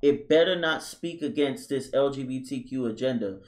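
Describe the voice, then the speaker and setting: A man's voice: mid-range voice, moderate pace, darkish tone. Emphatic man co-hosting a podcast. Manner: emphatic, frequent interjections of agreement. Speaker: podcast co-host. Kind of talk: casual opinionated chat.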